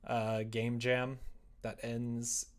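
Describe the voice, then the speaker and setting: A young man hosts a casual livestream while he works. His voice sits at an average pitch for a man. He talks in an offhand, sardonic way, grumbling and putting himself down. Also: monotone, very slow. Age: young adult. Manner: self-deprecating, sardonic grumbling. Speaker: livestream host.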